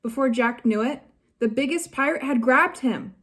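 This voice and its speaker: A woman reading a children's chapter book aloud in a slow, even storytelling manner. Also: high voice. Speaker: staff reader.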